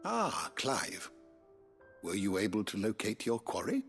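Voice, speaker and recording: Game role: courteous older British gentleman, learned and deliberate, lowish pitch, faint music beneath. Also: huge pitch swings, husky, exaggerated voice. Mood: pensive, courteous. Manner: formal, wordy, deliberate. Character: courteous, scholarly older gentleman, measured and pensive. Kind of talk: video game cutscene acting. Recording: soft music behind the dialogue.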